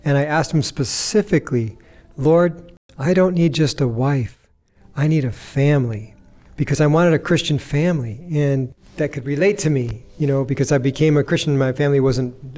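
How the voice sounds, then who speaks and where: A man's voice: on the high side, a little mellow. A man speaking earnestly and devoutly as a missionary guest, sharing his personal testimony in an interview.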